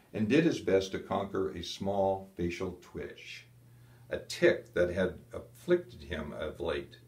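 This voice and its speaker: Low-voiced male narrator in an echoey room. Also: darkish tone. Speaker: audiobook narrator. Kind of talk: scripted dramatic narration of a historical novel. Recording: echoey, roomy sound.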